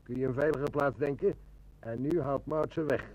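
An actor playing a calm, wry, seasoned police inspector, measured and matter-of-fact, in a radio drama. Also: male voice, medium pitch, slight background noise, close to an everyday voice, rough recording. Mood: focused. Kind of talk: Dutch radio detective drama.

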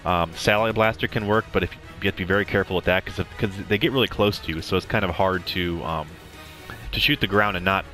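A man's voice: deep voice, quick pace, pushed and bright. A young man giving casual, rambling commentary over a game walkthrough, with plenty of ums and restarts. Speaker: gameplay commentator. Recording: game music playing under the voice. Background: game music.

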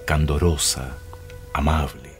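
A man narrating a scripted radio drama as a measured storyteller. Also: rumbling low, flat delivery. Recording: music behind the voice.

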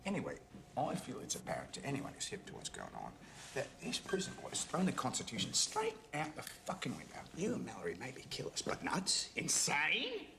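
A man's voice: medium pitch, huge pitch swings, fast, gravelly, reverberant, background noise. An actor playing a sensationalist male TV journalist in a film satire, with an emphatic, profane, salesman-like patter. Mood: ranting. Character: sensationalist, hustling male TV journalist buttering up a killer.

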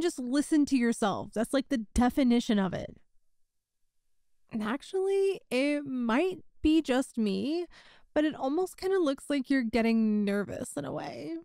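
A woman's voice: high-pitched, a little mellow, dry recording, clean studio sound. A woman playing a teasing young woman, a friend's older sister, in a conversational audio roleplay. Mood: playfully exasperated. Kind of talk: intimate ASMR-style audio roleplay, one side of a conversation.

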